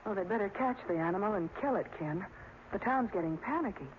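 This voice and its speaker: An actress playing an inquisitive young fiancée in an old radio drama, with a brisk, questioning manner. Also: female voice, medium pitch, expressive, natural voice. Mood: curious, concerned. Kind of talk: 1940s radio drama acting. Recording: dull, hissy old radio recording.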